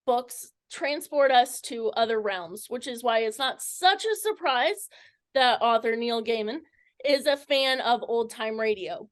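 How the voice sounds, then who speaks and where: A woman hosting an interview and introducing her guest. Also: high-pitched. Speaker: interviewer, host.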